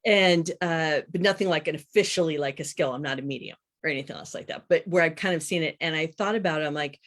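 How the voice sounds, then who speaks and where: A woman's voice: low voice, quick pace, a bit bright. A woman in her fifties speaking as a guest author, chatting casually and explaining things in an interview. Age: 50s.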